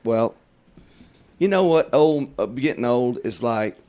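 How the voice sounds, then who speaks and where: A man's voice: medium pitch, expressive, unhurried, a little mellow. A man in his fifties with a Southern US accent, telling crude, rambling stories in a casual podcast chat. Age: 50s. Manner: blunt, crude, rambling storyteller. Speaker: podcast host.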